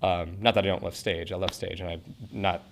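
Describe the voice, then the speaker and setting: A man's voice: low-pitched, little pitch movement, fairly quick, a little hiss or hum. A young man in his twenties from Portland, talking earnestly about acting school in an interview, rambling with fillers and restarts. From Portland, Oregon. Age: 20s. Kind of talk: casual interview answers.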